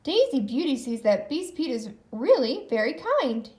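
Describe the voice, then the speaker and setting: A woman reading a children's story aloud in an expressive narrating style. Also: sits high, moderate pace. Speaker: storybook reader.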